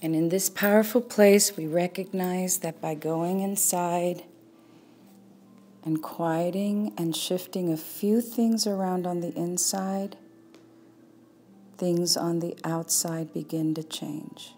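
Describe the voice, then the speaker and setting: A woman leading a guided meditation as a teacher, speaking calmly and without hurry, leaving long pauses. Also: on the low side, slight background noise. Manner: calm, unhurried, with long pauses.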